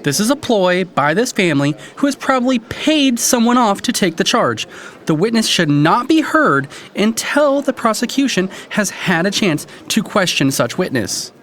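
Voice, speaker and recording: An actor playing an indignant, combative courtroom prosecutor in a dramatic audiobook. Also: male voice, high-pitched, big pitch swings, moderate pace, fairly bright tone.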